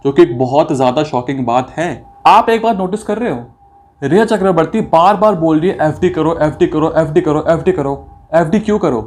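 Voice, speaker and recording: Male commentator, voice a bit high, indignant and accusatory, rhetorical, drops in English phrases. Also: brisk, darkish tone. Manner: mixes English phrases into speech. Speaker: video commentator. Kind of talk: opinionated commentary on a recording.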